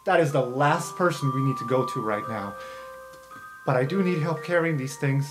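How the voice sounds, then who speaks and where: A man's voice: medium pitch, moderate pace, over music, lightly acted. A man voicing a confident young woman student in a tabletop game, shaken but commanding. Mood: urgent, commanding.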